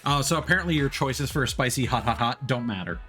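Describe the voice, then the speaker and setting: A man's voice: mid-range voice, lively intonation, a little fast, fairly bright tone. A man giving casual live commentary while playing a video game, musing aloud in a conversational way. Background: music behind the voice.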